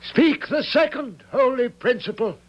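An actor playing a stern, zealous high priest and father who intones the rules of his tribe like ritual. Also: animated, a little slow, dull audio, full character voice. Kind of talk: radio drama acting.

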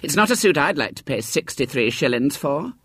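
Man doing a gossipy mum, opinionated, raised pitch, husky, dry. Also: very animated, fairly quick, fairly bright tone, dry recording, full character voice. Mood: chatty. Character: gossipy mother, chatty and opinionated.

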